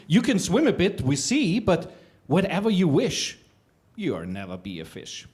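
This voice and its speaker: A man's voice: on the high side, huge pitch swings, a little slow, a little mellow. A man voicing a group of fish who politely turn another creature away. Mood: gently dismissive. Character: school of fish, polite but firm.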